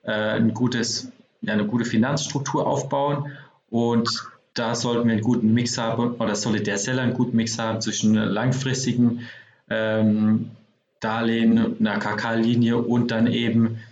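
A man's voice: mid-range voice, little pitch movement, moderate pace, a little mellow. A man hosting a podcast interview, talking loosely with many fillers as he sums things up. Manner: summarizing, loose and chatty with fillers. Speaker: podcast host. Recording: dull, roomy sound with faint noise.

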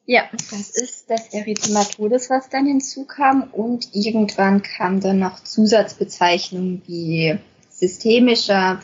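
Young woman, twenties, husky voice, conversational podcast host, muffled lo-fi recording. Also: medium pitch. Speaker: podcast host, self-help group member.

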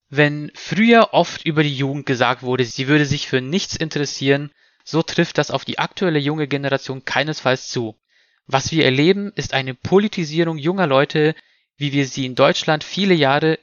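A man hosting a discussion podcast, speaking in a measured, formal and articulate way. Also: on the high side, a bit bright, dry recording, highs cut off. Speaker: podcast host moderating a panel. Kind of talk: scripted introduction, moderated discussion.

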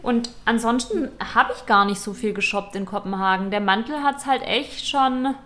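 A woman's voice: a little high, normal speed, bright, forceful tone. A woman co-hosting a fashion podcast, telling shopping stories in a chatty, self-deprecating way. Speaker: podcast co-host. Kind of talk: casual chat.

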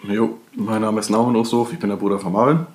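A man's voice: on the low side, fairly even intonation, fast, darkish tone. Easygoing guy on a home podcast, chill, lots of room echo. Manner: laid-back, short agreeing interjections. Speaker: podcast co-host. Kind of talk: casual chat. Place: shared flat, indoors.

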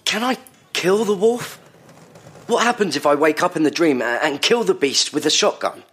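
An actor playing a troubled patient in an audio drama, earnest, uncertain and questioning. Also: male voice, a little high, animated, moderate pace, fairly bright tone, slightly rough. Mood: anxious, searching.

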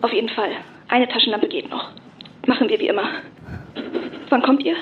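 A worn-out, wounded young activist played by a woman on a dull, muffled call with faint noise. Her voice is fairly high but weak and breathy, strained by pain, in naturalistic radio play style. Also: normal speed, lightly acted. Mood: weary, grateful. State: in pain, coughing. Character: injured, exhausted young female activist holed up in a forest. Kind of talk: naturalistic audio drama acting.

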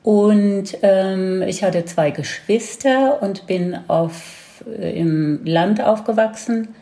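A woman's voice: medium pitch, warm tone. A woman in her seventies with a Baden accent, a grandmother unhurriedly telling her life story on a podcast. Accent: Baden regional German. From near Heidelberg, Germany. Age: 70s. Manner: reminiscing, unhurried, with laughs. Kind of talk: casual storytelling.

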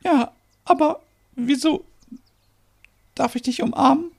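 A man voicing an emotional, affectionate older mother with a tender, soft delivery in an audio story. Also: extremely high pitch, wildly swooping pitch, slow pace, breathy edge, pitched above the performer's own voice, full character voice. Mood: overjoyed, tearful.

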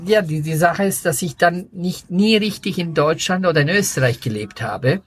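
A man's voice: on the high side, normal speed, slightly rough. An Italian man with an Italian accent, a winemaker guest speaking German as a second language, carefully searching for his words outdoors. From Italy. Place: outdoors at a wine estate.

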